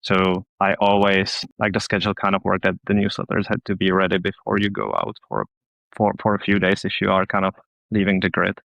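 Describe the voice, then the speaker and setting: Guest: thirties, Czech-accented man, low pitch, halting and casual, dry close sound. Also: gravelly, a bit bright, no echo, low-quality recording. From Czech Republic. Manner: hesitant, many restarts and fillers. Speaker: podcast guest, newsletter publisher. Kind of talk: casual interview chat.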